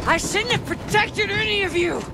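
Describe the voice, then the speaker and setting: A woman playing a strained, ruthless intelligence operative in a video game, intense in manner, with loud music behind. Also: very high-pitched, normal speed, heavy background noise. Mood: furious. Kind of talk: dramatic video game acting.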